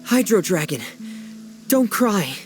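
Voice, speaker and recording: A man voicing a shy, earnest teenage diver in a video game, a quiet younger brother who speaks hesitantly. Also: sits high, sing-song, takes it slowly, faint music behind, exaggerated voice. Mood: wistful.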